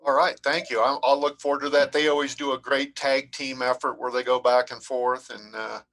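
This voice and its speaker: A man's voice: medium pitch, little pitch movement, brisk, fairly bright tone. A man hosting an online coffee meetup over video call, thanking the guest and closing politely.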